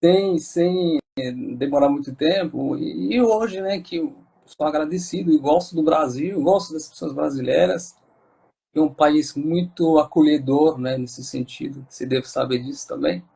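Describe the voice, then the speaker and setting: A man's voice: fairly high pitch, normal speed, vocal fry, warm tone, husky, low-quality recording. A Moroccan man speaking with a Moroccan accent, an interview guest talking casually with many fillers and restarts.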